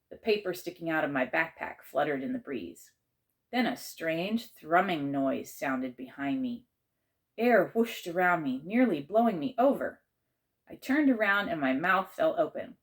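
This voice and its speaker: A woman reading a children's story aloud as an expressive, dramatizing narrator. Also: deep voice. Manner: animated, dramatizes a child's first-person tale. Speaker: author and teacher reading aloud.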